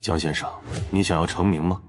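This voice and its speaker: An actor playing a manipulative rich man in his late fifties, suave, patronizing and persuasive, in a scripted animated drama. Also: deep voice, a little fast, lightly acted. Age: 50s. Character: smooth, manipulative rich man near sixty, persuasive villain.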